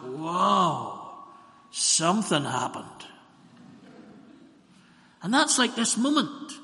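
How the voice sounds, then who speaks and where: A man with a Northern Irish Ballymena accent, a minister preaching a sermon, telling stories and slowing down to repeat phrases for emphasis. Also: fairly high pitch, pushed and bright. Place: church. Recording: long church reverb.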